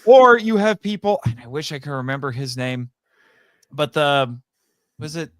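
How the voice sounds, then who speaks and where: A man chatting casually as a podcast guest, telling anecdotes in a rambling, animated way and acting out bits of conversation. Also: on the high side, normal speed, no room sound, studio quality. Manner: rambling, animated storytelling with acted-out quotes. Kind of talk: casual anecdotal interview chat.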